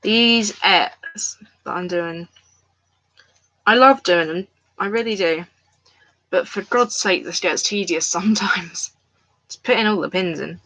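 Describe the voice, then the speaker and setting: Woman streaming from home, bright voice, loose rambling chat with viewers. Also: mid-range voice, unhurried, long pauses, poor audio. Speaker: crafts livestreamer. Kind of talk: casual live-stream chat. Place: at home, indoors.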